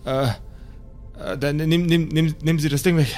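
A man's voice: fairly high pitch, fairly even intonation, measured pace, darkish tone, faint music behind, pitched above the performer's own voice, lightly acted. A man voicing a confused, frightened older man in a tabletop game, stammering and repeating his words. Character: confused, frightened older naked man, just coming round. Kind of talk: improvised tabletop role-play acting.

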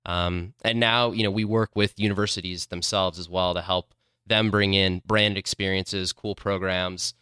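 A man's voice: deep voice, fairly even intonation, normal speed, pushed and bright, clean tone, dry recording, clean studio sound. A man in his thirties from Illinois, a company co-founder answering interview questions in a rambling way, full of fillers. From Illinois, USA.

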